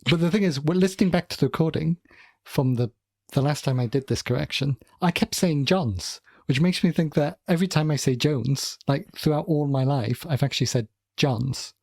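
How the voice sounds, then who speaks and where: A man's voice: fairly high pitch, moderate pace, creaky, a little mellow, no echo. A man from Wales with a Welsh accent, co-hosting a casual podcast and rambling with dry humour and frequent self-corrections. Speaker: podcast co-host. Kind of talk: casual chat.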